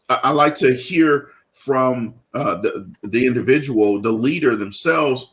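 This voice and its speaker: A man's voice: medium pitch, fairly bright tone. Man hosting a leadership talk show. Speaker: show host. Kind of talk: interview show introduction.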